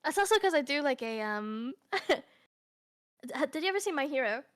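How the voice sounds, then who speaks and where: A woman's voice: high-pitched, big pitch swings, normal speed, fairly bright tone. A woman guest in a casual chat, laughing often and rambling in slangy speech. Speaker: guest streamer.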